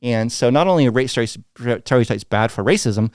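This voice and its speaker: Middle-aged American man, blunt storyteller, lively delivery, dry close sound. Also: medium pitch, fairly quick, no echo. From United States. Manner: blunt, animated, acts out quoted dialogue. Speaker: guest. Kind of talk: candid storytelling interview.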